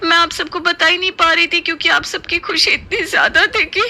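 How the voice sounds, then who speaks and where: A woman's voice: very high-pitched, steady tone, brisk, sharp tone, heavily acted. A woman voicing a meek, apologetic young daughter-in-law in a cartoon, plaintive and pleading. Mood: tearful, apologetic. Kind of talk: Hindi cartoon moral-story voice acting.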